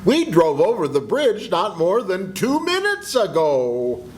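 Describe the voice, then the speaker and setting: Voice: medium pitch, wildly swooping pitch, measured pace. An older performer playing a jovial, reassuring man in an amateur old-time radio melodrama, teasing and chuckling. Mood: hearty.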